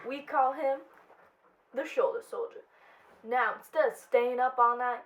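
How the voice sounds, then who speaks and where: A woman's voice: fairly high pitch, lightly acted. A woman playing a perky 1940s home scientist giving slangy sales patter to housewives on the radio. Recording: dull lo-fi audio.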